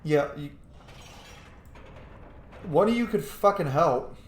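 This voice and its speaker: A man commentates on a video game in a casual, sweary, playful way, muttering his thoughts aloud. His voice sits a little high and swings widely in pitch. The room is echoey, with faint noise behind him. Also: lively intonation, slow pace. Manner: sweary, playful, thinking aloud, bursts into silly shouts. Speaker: streamer playing a role-playing video game. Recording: roomy echo, faint background noise.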